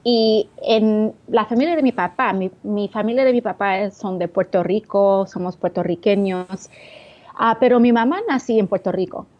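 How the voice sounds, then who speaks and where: A woman's voice: mid-range voice, normal speed, lo-fi sound. Young Bronx woman searching for Spanish words. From the Bronx, New York. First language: English. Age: young adult. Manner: hesitant, searching for words, frequent restarts. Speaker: interview guest. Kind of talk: interview answers.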